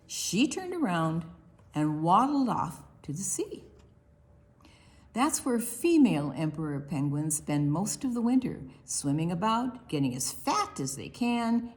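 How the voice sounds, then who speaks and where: Woman, children's storytime reader. Unhurried, very animated pitch, expressive delivery aimed at preschoolers. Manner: expressive, deliberate, with quiet asides to young listeners. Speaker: children's librarian hosting online storytime. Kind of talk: read-aloud picture book storytime for preschoolers.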